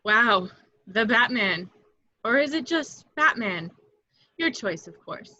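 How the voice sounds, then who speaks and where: A woman's voice: on the high side, lively intonation, slow, bright, forceful tone, heavily acted. A woman playing a lonely, self-mocking young secretary with sardonic delivery in an amateur read. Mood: awestruck teasing. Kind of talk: amateur table read acting. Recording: echoey, muffled home microphone.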